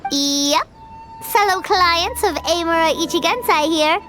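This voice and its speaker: A woman's voice: very high-pitched, measured pace. A woman voicing a tiny, cheerful and curious childlike fairy companion in a video game. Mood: eager, chirpy. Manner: refers to self in third person, perky. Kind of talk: video game character dialogue. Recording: music behind the voice.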